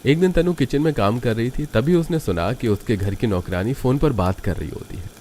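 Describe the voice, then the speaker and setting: A man's voice: medium pitch, moderate pace, warm tone, slight background noise. A man reading scripted narration for a family cartoon story.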